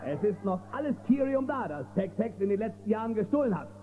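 A man's voice: high-pitched, sing-song, quick pace. An actor playing a heroic, confident space western lawman in a children's audio drama, a man's voice. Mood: reassuring. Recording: tinny, noisy old cassette audio drama.

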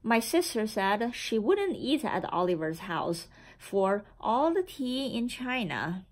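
A woman reading a children's picture book aloud in a deliberate, expressive storytelling manner. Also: fairly high pitch. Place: indoor room with framed certificates on the wall.

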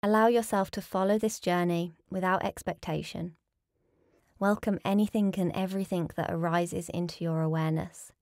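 A woman with a fairly low voice guides a restful meditation. She gives calm, soothing instructions and leaves gentle pauses between phrases. The recording is close and dry, with little room sound. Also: no echo. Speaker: yoga nidra teacher.

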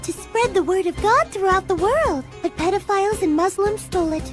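A woman's voice: very high voice, moderate pace. A woman playing a prim, devout schoolgirl who preaches in an anime parody dub. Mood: sweetly preachy. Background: light background music.

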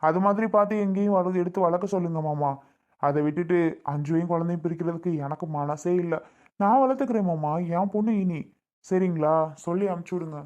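A man's voice: sits high, fast, a little mellow, dull audio, lightly acted. A man playing an indignant, protective husband in a family drama, arguing firmly and insistently. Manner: firm, argumentative, insistent. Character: indignant protective husband refusing to give up the child.

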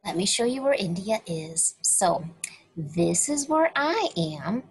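Brief: woman storyteller for kids, very slow, lively pitch, breathy husky tone, webcam mic. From United States. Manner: slow, expressive storytelling to children. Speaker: children's story reader. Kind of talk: read-aloud for children.

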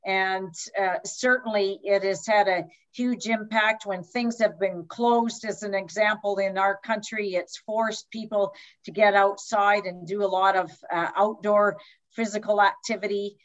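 Slow, hesitant Canadian woman lecturing online. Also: mid-range voice, steady tone. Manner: slow, measured, with frequent hesitations. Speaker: guest lecturer. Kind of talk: online lecture over slides. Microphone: video call. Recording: slightly dull video-call audio.

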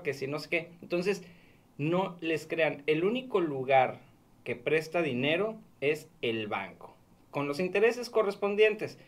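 A Mexican man in his thirties talks into a studio boom mic in a small radio booth. His voice sits a little high, and his delivery is earnest and cautionary, laying out the facts plainly for listeners. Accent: Mexican Spanish. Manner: earnest, warning, explanatory. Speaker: radio show consumer-fraud reporter. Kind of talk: radio talk segment. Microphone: studio mic on boom arm.

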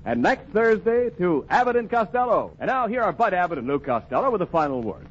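A male announcer reads sponsor copy in 1940s radio style. His voice is high and very animated. It comes through a dull vintage recording. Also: a little fast, breathy edge.

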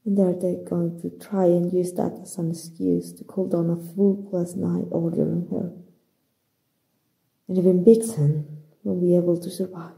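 A woman acting in an ASMR roleplay as a flustered, soft-hearted young female hero, stammering hesitantly in a soft, husky voice with a Mexican accent. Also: on the low side, little pitch movement, a little slow, heavily acted, poor audio. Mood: anxious, inward. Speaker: voice actor.

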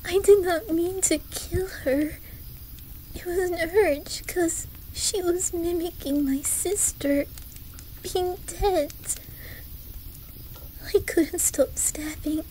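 A woman voicing a shy, traumatized young boy with a Swedish accent in a soft, whispery, hesitant ASMR roleplay. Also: high-pitched, steady tone, slight background noise, full character voice, low-quality recording. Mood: grieving. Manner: hesitant, halting, gentle.

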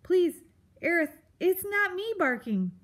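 A woman's voice: high voice, lively intonation, slow, voice pushed up. A woman voicing a small, polite young girl mouse in a children's storybook, meek and hesitant. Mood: frightened, polite.

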